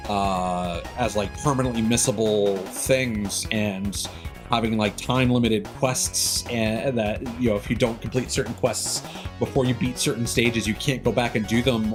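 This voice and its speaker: A man streaming games, chatting casually and giving opinions in halting phrases with emphatic bursts. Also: on the low side. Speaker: game streamer. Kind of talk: casual opinionated rambling on a livestream. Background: music behind the voice.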